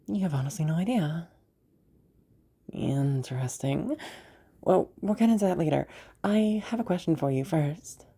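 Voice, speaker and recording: Woman acting a husky, teasing fantasy rescuer. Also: sits low, lively intonation, dark tone, full character voice. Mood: amused, teasing. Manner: playful, giggly, teasing, hesitant pauses. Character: teasing, amused magical woman freeing shackled prisoners. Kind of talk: roleplay audio drama.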